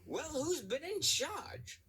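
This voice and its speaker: A man voicing a rude, pushy American railway controller, raised high above his own voice, with a heavy American accent. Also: sing-song, takes it slowly, sharp tone, slight background noise, heavily acted. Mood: brash. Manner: brash, dismissive, high-pitched bluster.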